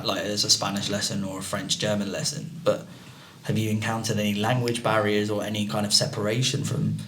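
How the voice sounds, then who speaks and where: A man's voice: fairly low pitch, a little hiss or hum. A man hosting a casual school panel podcast, posing questions and chatting animatedly. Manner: prompting questions, animated about swearing. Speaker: podcast host.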